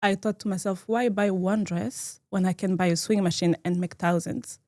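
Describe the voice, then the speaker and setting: A woman's voice: mid-range voice, steady tone, moderate pace, dark tone, no echo. Woman designer in a dry room. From France. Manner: earnest, laughs easily, small grammar slips. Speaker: guest, fashion designer. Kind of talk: casual interview.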